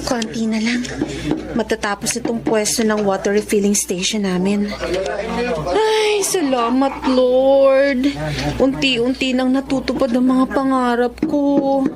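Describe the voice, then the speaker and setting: A woman's voice: high-pitched, takes it slowly, a loud crowd. A woman with a Filipino accent playing a hardworking, strict, ambitious young eldest daughter in a radio drama. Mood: cheerful.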